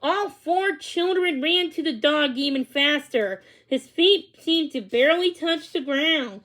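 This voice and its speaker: A woman with a high voice reads a children's story aloud. She sometimes trips on a word and goes back to fix it. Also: animated, measured pace, fairly bright tone. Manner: stumbles over words and corrects herself. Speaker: read-along reader.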